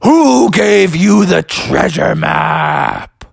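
A man voicing a menacing, imperious guardian of a treasure cave in a scary, drawn-out voice for a children's story. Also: sits high, wildly swooping pitch, vocal fry, no echo, clean studio sound, pitched above the performer's own voice, heavily acted. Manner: commanding, theatrical, imperious. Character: booming, menacing mystery voice guarding a skull-shaped cave's treasure.

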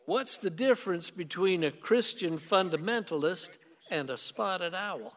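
A man's voice: sits high, expressive, unhurried, a bit bright. An actor playing a stubborn, sardonic older conservative man in a radio play, speaking formally and drily. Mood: dry, wary. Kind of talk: theatrical radio play dialogue. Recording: long reverberant hall sound.

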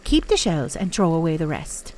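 A woman voicing a shrewd, steady old Irish woman in a storybook reading. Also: sits low, moderate pace, a little hiss or hum, rough recording. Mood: commanding.

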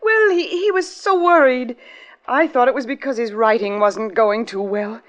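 A woman plays a worried wife in an old radio mystery, her voice pitched high. She is nervous and hesitant, turning defensive and evasive. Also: moderate pace, lo-fi sound, close to an everyday voice. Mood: anxious, urgent. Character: anxious, evasive wife of a missing writer.

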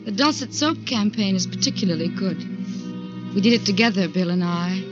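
An actress playing a jilted career woman narrating a 1940s radio thriller in a measured, restrained, bitter way. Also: mid-range voice, expressive, over music, lightly acted. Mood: numb, bitter. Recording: dull 1940s radio recording.

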